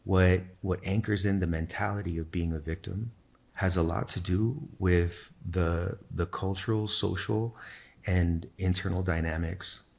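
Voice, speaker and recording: A man whose first language is Spanish, a podcast guest thoughtfully telling his own story in a measured, explanatory way. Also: deep voice, steady tone, gravelly. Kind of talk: reflective personal storytelling in conversation.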